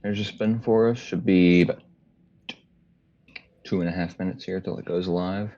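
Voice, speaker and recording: A man with a deep voice giving casual, laid-back live commentary on a game match, thinking aloud with fillers. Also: steady tone, normal speed, warm tone, muffled recording. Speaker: tournament commentator. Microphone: home streaming mic, lower quality.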